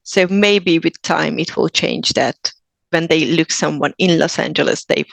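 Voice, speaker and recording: A woman's voice: low voice, measured pace, gravelly, no room sound. A woman from Hungary with a Hungarian accent, a guest actor speaking earnestly in a casual podcast interview, often restarting her sentences. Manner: earnest, thoughtful, with frequent restarts.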